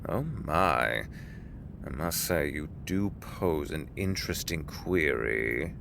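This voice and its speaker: An actor playing a courteous middle-aged executive, avuncular and formal, with genteel, deliberate phrasing in an audio drama. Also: male voice, extremely low pitch, animated, gravelly, noisy background, full character voice. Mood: considering, courteous.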